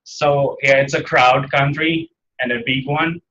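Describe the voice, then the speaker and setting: A young man from Indonesia with an Indonesian accent, giving a seminar talk in halting English with many fillers and restarts. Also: medium pitch, steady tone, bright, forceful tone, lo-fi sound, a little hiss or hum. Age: young adult. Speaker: seminar presenter. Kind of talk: academic slide presentation. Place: seminar room.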